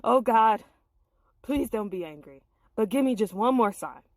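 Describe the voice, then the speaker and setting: A woman's voice: on the high side, animated, unhurried, dry recording. A woman voicing Gideon, an anxious, reluctant male warrior, in an earnest, pleading storybook manner. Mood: pleading, scared.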